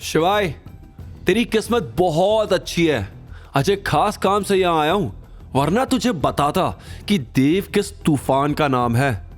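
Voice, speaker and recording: A male narrator plays an arrogant young rival in an audio drama, raised in pitch. He is hostile, taunting and boastful, with music behind him. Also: expressive, slow pace.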